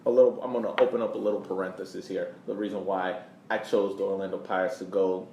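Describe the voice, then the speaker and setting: A man with a fairly deep voice hosts a casual sports podcast. He speaks conversationally in a noticeably echoey room, with faint noise behind him. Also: little pitch movement, fast, vocal fry, warm tone, muffled recording. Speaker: podcast host. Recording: roomy echo, background noise.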